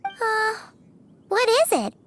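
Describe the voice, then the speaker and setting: Super high squeaky little fairy girl sidekick, fantasy game, excitable n chattery, really bouncy pitch. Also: slow, noisy background, exaggerated voice. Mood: puzzled. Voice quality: squeaky, cutesy. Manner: exclamatory, chattery. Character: tiny childlike female fairy companion, excitable, anxious, loyal. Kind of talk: scripted fantasy game voice acting.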